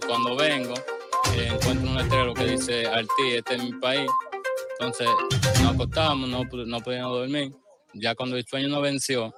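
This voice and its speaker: Un hombre dominicano entrevistado en un reportaje de noticias que relata lo ocurrido de forma sencilla y entrecortada. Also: male voice, mid-range voice. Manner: plain, halting recollection. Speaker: interviewee. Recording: news clip with faint music.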